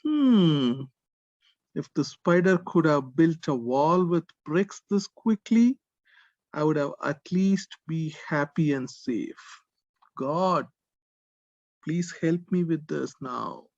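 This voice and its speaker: A man playing a frightened, devout soldier in a puppet show, speaking in a halting, dramatic monologue. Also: a little high, expressive, darkish tone, roomy sound, dull audio. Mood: resigned, pleading. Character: frightened, devout soldier trapped in a cave, praying. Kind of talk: puppet show story acting.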